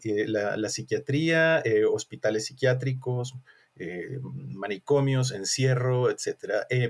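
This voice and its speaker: Man, lowish voice, academic guest, conversational, often rephrasing. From Colombia. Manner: discursive, often rephrasing himself. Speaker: academic researcher, interview guest. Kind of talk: conversational interview answers.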